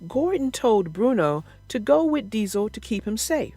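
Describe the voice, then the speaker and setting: Female storybook narrator, high voice, very expressive intonation, read-aloud for children, soft music behind. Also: normal speed.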